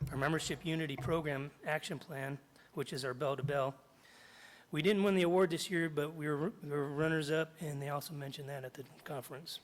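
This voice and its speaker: A middle-aged man, slightly hoarse after jaw surgery, reading prepared remarks at a podium in a large meeting hall and stopping often to clear his throat. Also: fairly high pitch, steady tone, moderate pace. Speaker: union chapter president. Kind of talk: public comment at a board meeting. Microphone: podium microphone.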